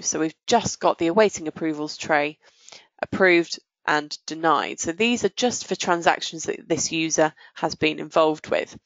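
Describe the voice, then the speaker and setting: A woman presenting a software walkthrough in a methodical, explanatory way. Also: low voice, vocal fry, no room sound, lo-fi sound. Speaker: software demonstrator.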